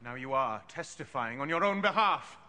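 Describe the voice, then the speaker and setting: An actor playing a stern, indignant middle-aged Pharisee in a biblical film, challenging and accusatory. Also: male voice, medium pitch, expressive, moderate pace, sharp tone. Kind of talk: dramatic biblical film acting.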